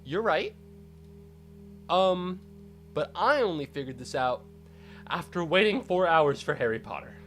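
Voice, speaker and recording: A young man chatting casually on a live stream, congested and rambling with excitable outbursts. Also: fairly high pitch, a little slow, pauses often, faint music behind.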